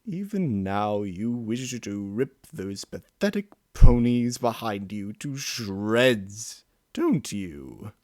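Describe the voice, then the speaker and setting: A man voicing a bloodthirsty male inner beast that taunts slowly and tempts to violence, in an audio reading of a story. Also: on the low side, big pitch swings, a little mellow, no echo, studio quality. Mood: taunting.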